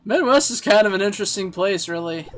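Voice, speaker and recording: Guy streaming from home, high voice, rambly and joking at himself, laughs a lot. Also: animated, brisk. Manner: rambling, self-deprecating, laughs easily. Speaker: game streamer. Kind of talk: casual chat while playing and reading chat.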